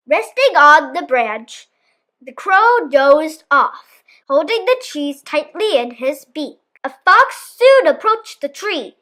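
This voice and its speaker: A woman storyteller reading a children's story aloud in an expressive, theatrical manner. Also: high-pitched.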